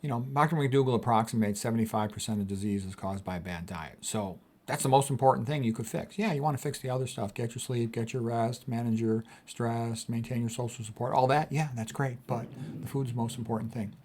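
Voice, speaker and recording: A man's voice: mid-range voice, brisk, darkish tone. A man of sixty, a physician, reading quotes aloud and commenting on them in an emphatic, casual way, often repeating his key points. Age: 60s. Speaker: physician host.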